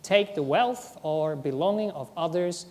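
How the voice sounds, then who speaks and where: A woman with a German accent, a Buddhist monastic co-teaching a workshop, explaining in a halting way with frequent "um" and restarts. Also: on the low side, darkish tone. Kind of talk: workshop discussion. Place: Buddhist centre hall.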